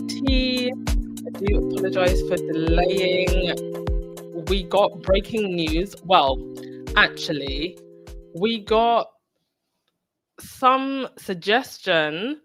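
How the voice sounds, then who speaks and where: A woman's voice: lowish voice, fairly bright tone, dry recording. A woman with a cold, hosting a live podcast and chatting casually while reading out viewer comments. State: congested with a cold. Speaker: podcast host.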